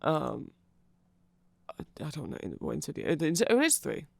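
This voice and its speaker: Creaky-voiced ASMR rambler, sex unclear. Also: medium pitch, huge pitch swings, a little fast, dry recording. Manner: nerdy, self-deprecating, digressive. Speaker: ASMR creator explaining band lore. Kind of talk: casual rambling ASMR explainer.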